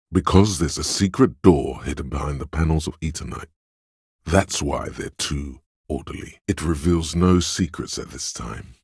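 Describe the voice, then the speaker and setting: Calm, wry inner sense of perception in a game: very husky, measured, points out details in second person. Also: male voice, rumbling low, no room sound, clean studio sound, heavily acted. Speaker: voice actor for a game's inner skill voice. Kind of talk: scripted game voice lines.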